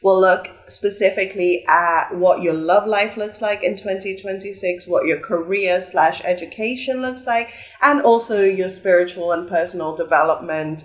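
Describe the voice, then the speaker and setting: A woman's voice: on the low side, measured pace. A young woman, a bit stuffy from a flu, chatting upbeat as she gives a pick-a-card tarot reading. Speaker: tarot reader.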